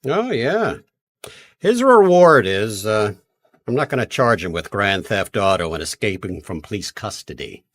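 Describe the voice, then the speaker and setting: Deep-voiced gruff police detective. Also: male voice, very animated, a little slow, a bit bright, exaggerated voice. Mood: sardonic. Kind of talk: old-time radio mystery acting.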